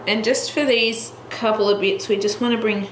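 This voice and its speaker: A woman's voice: on the high side, fairly even intonation, moderate pace. A young Australian woman with a stuffy, congested voice, rambling casually through a colouring tutorial at home, with lots of fillers. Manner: rambling, with many fillers and restarts. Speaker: colouring channel host. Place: at home, in front of a heater. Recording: echoey room with background noise.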